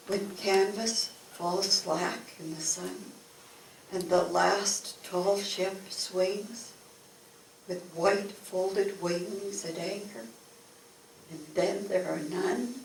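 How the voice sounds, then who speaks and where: An older woman reciting poetry expressively at a community event, with measured, dramatic phrasing. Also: mid-range voice. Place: event room, recorded from a distance. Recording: echoey, noisy room, distant microphone.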